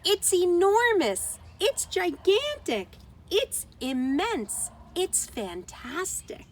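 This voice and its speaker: Woman voicing loud, high, eager storybook mice. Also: sing-song, very slow, exaggerated voice. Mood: thrilled. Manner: loud, emphatic exclaiming. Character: band of eager, demanding cheese-loving mouse friends.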